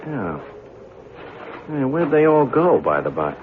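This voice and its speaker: A man's voice: medium pitch, very animated, slow, slight background noise. An actor playing a skeptical, down-to-earth man in a radio drama, speaking in a blunt, colloquial way. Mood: wary, casual. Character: skeptical, down-to-earth man investigating strange phenomena, narrating. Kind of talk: radio drama acting and first-person narration. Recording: phone-like old radio recording, music behind.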